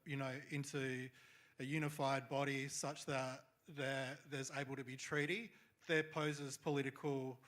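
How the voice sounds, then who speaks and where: A young man, a university debater answering a question haltingly, with many restarts and fillers. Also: medium pitch, level tone, fairly bright tone. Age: young adult.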